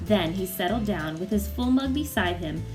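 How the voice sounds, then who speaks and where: A woman reads a picture book aloud as a storyteller for young children. Her delivery is expressive and animated, at a middle pitch, with faint music around it. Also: moderate pace.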